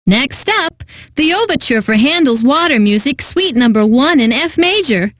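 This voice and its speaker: Casting: eccentric female classical station DJ for a game, high voice, dry close studio sound, pompous and theatrical delivery. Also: lively intonation, moderate pace, no echo. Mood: poised, refined. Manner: pompous, theatrical, savoring each phrase. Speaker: classical radio DJ. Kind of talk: scripted radio DJ patter for a video game.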